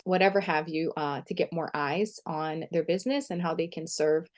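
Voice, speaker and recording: Woman, thirties, lowish pitch, chatty and upbeat. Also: normal speed, darkish tone. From Brazil. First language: Portuguese. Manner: upbeat, chatty, laughs easily. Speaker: guest, empowerment coach. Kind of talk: podcast interview.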